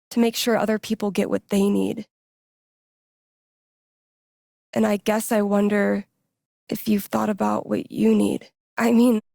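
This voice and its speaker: A woman playing a cool, sarcastic, warm-hearted young indie musician in a video game, with an American accent and casual, slangy delivery. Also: mid-range voice, vocal fry, no room sound, clean studio sound. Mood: tender, earnest. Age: young adult. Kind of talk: naturalistic video game voice acting.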